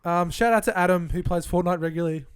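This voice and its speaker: A man co-hosting a podcast, tossing in quick joking remarks. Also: high voice, smooth, dry recording, clean studio sound. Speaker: podcast co-host. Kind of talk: casual podcast chat.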